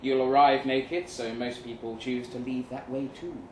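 A man's voice: medium pitch, fairly even intonation, moderate pace, a little mellow, lightly acted. A man playing a reserved, formal, world-weary middle-aged machine operator in a short story reading. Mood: explaining. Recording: roomy, distant live venue recording, faint noise.

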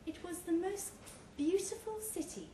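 A woman reading a short story aloud at a live pub event, measured and with dramatic pauses. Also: very high-pitched, dark tone. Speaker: story reader. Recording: echoey room, clear background noise.

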